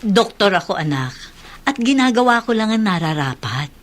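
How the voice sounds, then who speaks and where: A woman's voice: medium pitch, big pitch swings, moderate pace, close to an everyday voice. An actress with a Filipino accent playing a dedicated, compassionate, middle-aged woman doctor in a radio drama. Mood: earnest, patient. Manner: earnest, reassuring.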